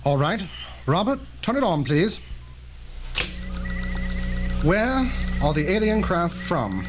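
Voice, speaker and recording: A man's voice: high voice, big pitch swings, takes it slowly, music in the background, full character voice. A man playing an earnest, cautious scientist in an old-fashioned radio drama, speaking in a formal, precise way. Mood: solemn. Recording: noisy old tape with hum.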